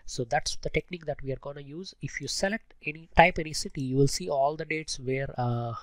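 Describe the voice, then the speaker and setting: A man's voice: on the high side, a little fast, no echo. Man with a cold presenting a tutorial. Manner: explains step by step, with frequent hesitations. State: voice affected by a cold. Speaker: host of a spreadsheet tutorial channel. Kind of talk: informal screencast tutorial narration.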